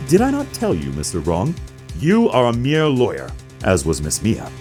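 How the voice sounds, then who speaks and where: A man's voice: mid-range voice, huge pitch swings, normal speed, darkish tone, faint music behind. A man voicing a pompous, manipulative businessman villain in a video game dub, with a theatrical, wordy delivery. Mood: haughty, threatening.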